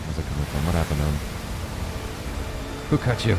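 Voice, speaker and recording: A man's voice: a bass voice, expressive, brisk, noisy background. A man hosting a reaction show, giving casual, spontaneous commentary.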